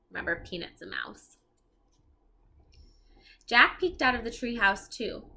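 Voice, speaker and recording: A woman reading a children's storybook aloud, expressive and explaining things to young listeners as she goes. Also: on the high side, slight background noise. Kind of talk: read-aloud narration with asides to young listeners.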